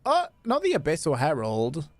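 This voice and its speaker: A man's voice: on the high side, wildly swooping pitch, normal speed. A man giving casual commentary as he livestreams a game.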